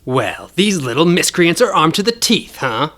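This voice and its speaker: A man's voice: a little high, sing-song, normal speed, fairly bright tone, voice pushed up. A man voicing a smug, cruel male bounty hunter in an audio story, taunting and sneering. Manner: taunting, sneering, drawling for effect.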